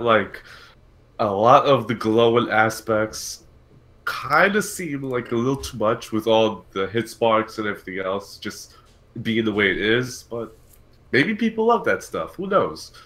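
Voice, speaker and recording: A man's voice: mid-range voice, animated, measured pace, bright, forceful tone. A man hosting a casual gaming talk show, thinking aloud and correcting himself in a conversational way. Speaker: podcast host.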